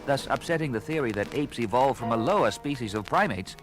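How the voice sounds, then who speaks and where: An actor playing a learned, courteous ape scientist on the run in a 1970s audio adventure, with erudite, hesitant, formal speech. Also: male voice, mid-range voice, animated, a little fast, close to an everyday voice. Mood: scholarly, earnest. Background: dramatic music.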